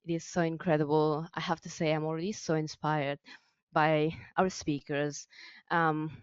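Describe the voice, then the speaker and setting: A young woman from Afghanistan speaks candidly and earnestly at an event, her voice smooth and pitched a little low. Frequent ums break up her speech. The audio is dry and a touch dull. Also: no room sound. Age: young adult. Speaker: youth advisory council speaker. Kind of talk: personal speech at a public event. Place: event venue with a podium.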